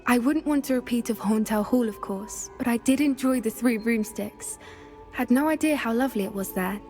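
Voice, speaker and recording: A gentle schoolgirl at a wizard school, acted by a woman. Her voice sits fairly high and sounds earnest and soft-hearted, with a slight hesitancy, in naturalistic video game dialogue. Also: moderate pace, soft background music, natural voice. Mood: warm, sincere.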